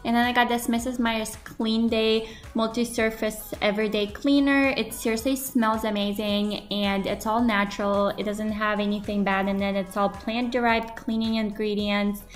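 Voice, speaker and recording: A woman's voice: fairly high pitch, flat delivery, normal speed, few pauses. A young woman vlogger talking casually about cleaning and the products she likes, upbeat and enthusiastic. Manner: enthusiastic, chatty, recommending. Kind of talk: casual voice-over product talk. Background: background pop music.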